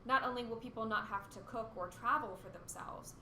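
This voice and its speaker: A woman's voice: fairly high pitch, a little fast, bright, forceful tone. A woman acting as a cynical, irritable female radio co-host in an amateur radio play, with an exasperated, sardonic manner. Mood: presenting. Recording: roomy echo, faint background noise.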